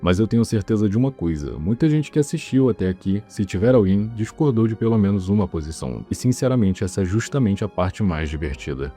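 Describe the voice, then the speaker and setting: A Brazilian man hosting a video essay, narrating from a script in a measured, explanatory way and giving his opinions. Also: lowish voice, expressive, dark tone, husky. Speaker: video host presenting a ranking. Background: background music.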